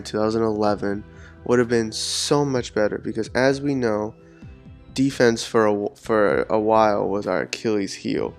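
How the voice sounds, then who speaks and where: Low-voiced man recovering from a cold. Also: creaky. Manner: earnest, rambling with repeated words. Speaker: podcast host. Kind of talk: solo sports podcast talk.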